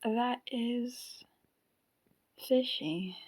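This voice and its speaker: A woman's voice: on the high side, very slow, a little mellow, low-quality recording. A woman acting in an audio drama as a shrewd female robot companion, analytical and teasing. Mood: suspicious.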